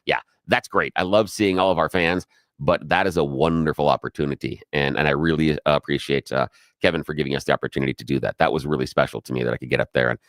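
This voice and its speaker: A man's voice: extremely low pitch, fast, no room sound, studio quality. A middle-aged man co-hosting a radio talk show, telling stories in casual chat with a big, projecting voice and acting out the people he quotes. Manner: animated storyteller who acts out quoted voices. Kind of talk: casual on-air chat and storytelling.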